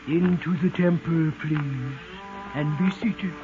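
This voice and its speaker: An actor playing a solemn old gentleman doorman at a séance house in a 1950s radio drama, speaking formally and ceremoniously. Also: a little high, slow. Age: older. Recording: tinny narrow-band 1950s radio, faint noise.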